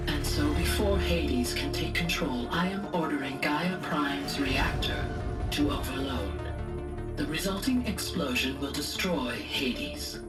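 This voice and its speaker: An actress playing a solemn, motherly artificial intelligence in a video game, formal and measured. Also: low-pitched. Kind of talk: video game voice acting, recorded message. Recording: echoey, distant, under loud music.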